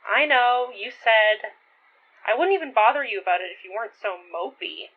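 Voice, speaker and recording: Through a narrow, phone-like sound comes a young woman with a fairly high voice. She is a caring but teasing friend, casual and wry, in a naturalistic audio drama. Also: lively intonation, normal speed. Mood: nudging, teasing. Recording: thin, phone-like narrow band.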